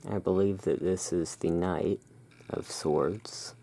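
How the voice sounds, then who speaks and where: A soft-spoken ASMR host roleplaying the owner of a gothic curiosity emporium, presenting cards in hushed, unhurried short phrases with pauses. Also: low voice, steady tone, husky. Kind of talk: soft-spoken ASMR tarot card showing.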